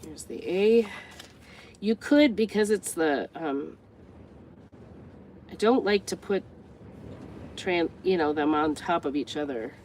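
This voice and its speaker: A woman's voice: lowish voice, expressive, slow pace, long pauses, darkish tone, a little hiss or hum. An older woman with a stuffed-up, congested voice, chatting casually and thinking aloud as she works through a craft tutorial. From United States. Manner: chatty, rambling, thinks aloud while working. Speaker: craft vlogger.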